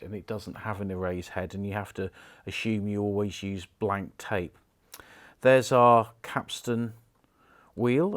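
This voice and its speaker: A middle-aged British man giving an informal, unhurried hands-on commentary, explaining things step by step as he works. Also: lowish voice. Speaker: presenter of a repair video, former radio engineer. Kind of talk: casual hands-on demonstration commentary.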